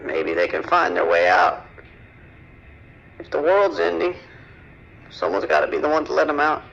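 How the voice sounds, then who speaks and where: A distraught male caller with a high, sharp, crackly voice on a muffled phone line. He is hesitant and tender-hearted, pleading anxiously in an emergency-call drama. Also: big pitch swings, normal speed, gravelly, heavily acted. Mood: distressed, resolved. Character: distraught, soft-hearted male New York apartment-building resident. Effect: phone or radio filter. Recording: dull, band-limited emergency phone line.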